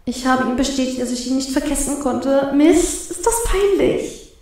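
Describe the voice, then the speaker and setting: A woman's voice: high-pitched, animated, normal speed, darkish tone, pitched above the performer's own voice. A woman voicing a timid, flustered young woman who speaks hesitantly and nervously in an audio story. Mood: embarrassed. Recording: very echoey, reverberant voice.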